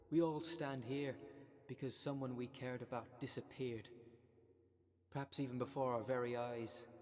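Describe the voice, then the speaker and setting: A man playing a solemn, zealous cult leader in an audio roleplay, speaking with measured, grandiose earnestness. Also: medium pitch, close to an everyday voice. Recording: long reverb of a large hall.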